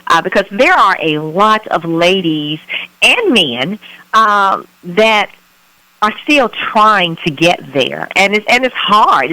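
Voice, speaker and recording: Enthusiastic radio host woman, dry and bright. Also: fairly low pitch, dry recording, low-quality recording. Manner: warm, enthusiastic, chatty. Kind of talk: radio talk show interview.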